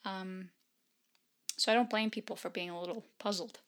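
A woman's voice: medium pitch, fairly even intonation, moderate pace. A woman giving casual solo film commentary in an enthusiastic, emphatic and informal, chatty way. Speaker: film reviewer.

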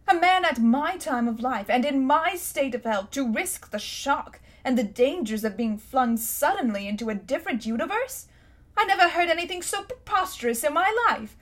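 A haughty, blustering old magician uncle, cruel and full of his own importance, as a woman puts him on in a storybook reading. He talks down from on high in a loud, lofty voice. The voice sits a little high. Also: lively intonation, normal speed.

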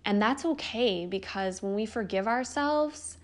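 A woman's voice: mid-range voice, lively intonation, measured pace. A young woman hosting a podcast, talking earnestly and encouragingly about life purpose, casual with the odd swear word. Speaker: podcast host. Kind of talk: spiritual self-help monologue.

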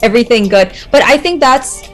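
A woman's voice: a little high, moderate pace, faint music behind. A woman who works as a dentist, speaking earnestly and reflectively in a casual group discussion, with laughs between points.

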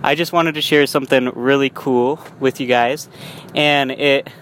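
A man's voice: medium pitch, fairly even intonation, unhurried, a bit bright. A young man talking casually while driving, rambling with frequent restarts and small laughs. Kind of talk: casual vlog monologue. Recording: faint road noise.

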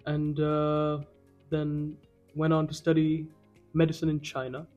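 A man's voice: fairly high pitch, monotone, a little slow, darkish tone, lo-fi sound, soft background music. A young adult man from Kuwait, a podcast guest who talks in a playful, joking way. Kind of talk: casual podcast chat.

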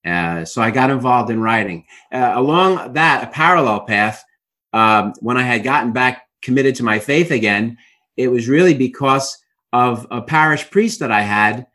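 A man's voice: medium pitch, lively intonation, moderate pace, pushed and bright. A middle-aged man with a Brooklyn accent, a guest telling his life story in an interview with many fillers and restarts. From Brooklyn, New York.

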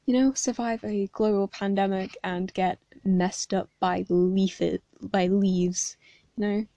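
Hoarse young woman reading a story. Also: mid-range voice, fairly even intonation, takes it slowly, mellow, no echo. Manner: casual and chatty, with fillers. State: hoarse from hay fever. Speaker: fan fiction reader. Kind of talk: reading a story aloud with casual chat between chapters.

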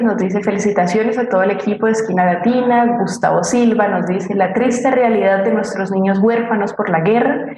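A woman's voice: mid-range voice, a little mellow. Woman co-host in an echoey room. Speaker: co-host reading audience comments. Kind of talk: radio theatre forum, reading listener messages. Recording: roomy, echoing voice.

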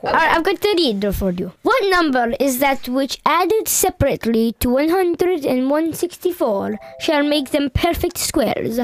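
A teenage girl with a high-pitched voice co-hosts a student podcast. Her talk is casual and playful, with an amateur stab at an Italian accent. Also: very animated, a little slow, few pauses. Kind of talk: casual chat, posing riddles.

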